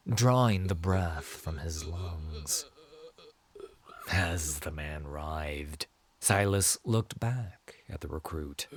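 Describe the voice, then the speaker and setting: Low, measured male storyteller. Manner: measured, solemn. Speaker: narrator. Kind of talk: dramatic scripted story narration.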